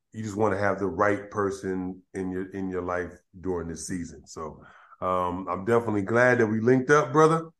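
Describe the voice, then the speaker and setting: Laid-back slangy male podcast host. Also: lowish voice. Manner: relaxed, slangy, with filler words and restarts. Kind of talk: casual interview chat.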